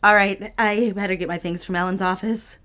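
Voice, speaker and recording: A woman's voice: mid-range voice, steady tone, a little fast, a bit bright, close to an everyday voice. An actress playing an anxious, submissive young secretary in love with her boss, in a romantic audio drama with pleading, formal speech. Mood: polite, coy. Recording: music behind the voice.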